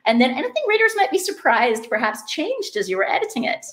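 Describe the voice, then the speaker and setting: A woman's voice: high voice, sing-song, quick pace, fairly bright tone. A woman with an enthusiastic, encouraging manner, hosting a podcast and interviewing an author in a conversational way.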